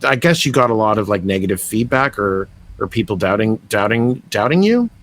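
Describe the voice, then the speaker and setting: A man's voice: fairly low pitch, sing-song, brisk, a bit bright, dry recording. A man co-hosting a casual podcast interview, asking questions hesitantly and repeating words. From Canada. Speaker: podcast co-host.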